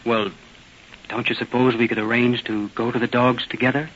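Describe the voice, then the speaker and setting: An actor playing a hot-headed, lovestruck American adventurer in an old-time radio drama, with emphatic, indignant delivery. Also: male voice, mid-range voice, level tone, normal speed, a bit bright, slight background noise, close to an everyday voice. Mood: ardent, pleading. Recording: dull lo-fi old radio recording.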